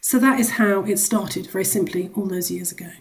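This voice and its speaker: A woman's voice: medium pitch, a little fast, poor audio. A woman speaking as a charity executive in a radio interview, explaining the work in a conversational, wordy way with frequent asides.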